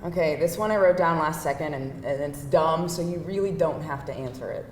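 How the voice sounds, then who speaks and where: A woman's voice: deep voice, fairly quick, natural voice. A woman playing a candid, feisty date with a hesitant, conversational delivery at a live screenplay table read. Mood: candid, tentative. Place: indoor room before a live audience. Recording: echoey room, distant mic, faint noise.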